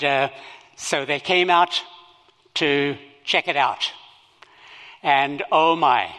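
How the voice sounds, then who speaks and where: Slow-speaking older Zimbabwean man. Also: a little high, bright, forceful tone, big-room sound. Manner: slow, halting, with many fillers. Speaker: missionary speaker. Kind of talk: sermon.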